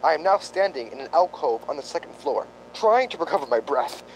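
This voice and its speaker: Pompous, higher-voiced actor as a psychic investigator. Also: male voice, big pitch swings, slight background noise, low-quality recording. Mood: grave, intense. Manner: formal, deliberate, pompous. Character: grave, self-important male psychic investigator doctor. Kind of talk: old-time radio drama acting.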